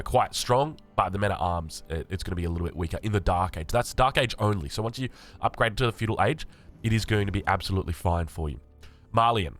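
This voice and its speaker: A young Australian man with a raspy voice, sick and just woken up, chatting casually as he reads through game patch notes. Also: on the low side, fairly bright tone. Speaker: gaming channel host.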